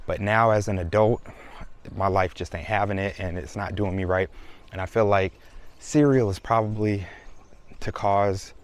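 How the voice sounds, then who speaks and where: A man's voice: fairly low pitch, normal speed, a little hiss or hum. A man, chatting casually to his viewers in a vlog. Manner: chatty, relaxed, addresses viewers as y'all. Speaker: vlogger. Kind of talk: casual vlog talk to camera.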